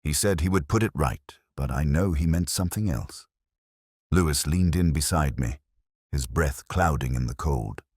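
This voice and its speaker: A man narrating a first-person detective story in a measured, brooding, literary manner, as a world-weary investigator. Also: a bass voice, darkish tone, breathy edge, no room sound, clean studio sound. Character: world-weary, reflective male police detective. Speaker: audio drama narrator.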